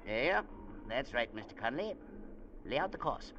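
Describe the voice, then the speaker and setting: A man's voice: mid-range voice, sing-song, moderate pace, fairly bright tone, muffled recording. An actor playing a cocky, hot-tempered, stubborn small sea captain in a 1940s radio drama, barking his orders. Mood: gruff, businesslike. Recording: noisy old radio drama recording.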